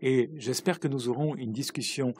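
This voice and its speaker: A man doing simultaneous interpretation of parliamentary speeches, halting, with uneven pacing and frequent restarts. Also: medium pitch, darkish tone, slight background noise.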